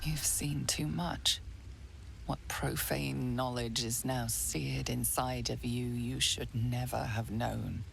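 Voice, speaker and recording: Game narrator: very deep female voice, creaky, hushed and portentous, music loud behind. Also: steady tone, slow, background noise, exaggerated voice. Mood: ominous, foreboding. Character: low-voiced, ominous female narrator of dark fantasy. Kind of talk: scripted dramatic second-person game narration.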